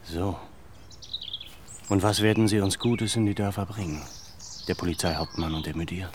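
A man's voice: deep voice, fairly even intonation, moderate pace, background noise, close to an everyday voice. An actor playing a determined man who questions people firmly and commands, in a radio play. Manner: firm, probing, commanding. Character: determined landowner leader, adult man.

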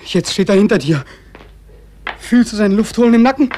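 An actor playing a tormented, despairing, half-blind soldier home from war in a radio play, haunted and agitated. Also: male voice, sits high, animated, moderate pace, darkish tone, a little hiss or hum. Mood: panicked. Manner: agitated, haunted, swinging from whisper to outcry. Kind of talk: German radio play acting.

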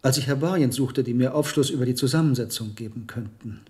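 An actor playing an earnest, musing monastery herbalist in a German radio play, speaking in a deliberate, thoughtful way. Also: male voice, mid-range voice, dark tone, natural voice. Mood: pensive, troubled.

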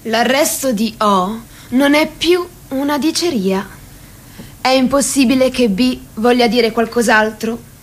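An actress narrating a political radio play as a watchful observer, in clipped, precise scripted lines. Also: female voice, a little high, a little slow. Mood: observant.

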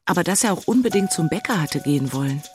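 A woman's voice: fairly low pitch, animated, fast talker, soft background music, lightly acted. An actress playing an agitated, self-reproaching woman in her forties in a radio drama inner monologue. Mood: brooding, exasperated. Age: 40s. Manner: brooding, exasperated.

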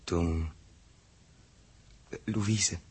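An actor playing a troubled, melancholy man lost in his memories, halting in speech, in 1960s radio drama style. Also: low-pitched, steady tone, breathy edge, full character voice. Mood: forlorn, pleading. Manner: hesitant, halting.

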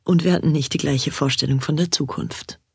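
An actor playing a reflective, introspective narrator in a radio play, looking back on a rebellious youth with a husky, breathy voice. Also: mid-range voice, steady tone, a little fast, no echo, studio quality.

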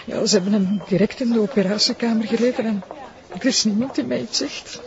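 A woman's voice: a little high, brisk, lightly acted. A woman with a Flemish accent playing an anxious, emotional pregnant wife of a wounded policeman in a crime drama. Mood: anxious, despairing. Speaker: actor. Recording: dull, noisy audio with clicks.

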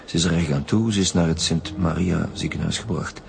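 An actor playing an impatient middle-aged chief police detective in a radio drama, speaking brusquely with a Flemish accent. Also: male voice, sits low, a little fast, creaky, slight background noise. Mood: brisk, dry. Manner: brusque, sceptical. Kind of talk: naturalistic radio drama acting.

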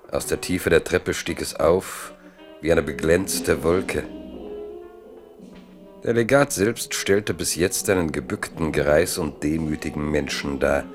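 A man narrating a historical radio drama as a measured, solemn literary storyteller. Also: a bass voice. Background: music.

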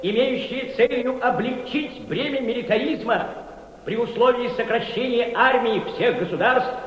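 A Soviet diplomat, a man with a very high voice, giving a measured, emphatic speech. It rings out with long reverb in a big hall, and the radio-drama recording is slightly dull. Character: Soviet diplomat delivering a formal conference speech. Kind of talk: formal oratory in a radio play.

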